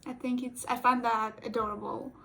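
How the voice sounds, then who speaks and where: A woman's voice: a little high, little pitch movement, moderate pace, creaky, fairly bright tone, a little hiss or hum. A young woman whose first language is Portuguese, casually rambling and joking while doing a makeup tutorial, often correcting her own words. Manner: rambling, playful, self-correcting, giggly. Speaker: cosplay makeup vlogger.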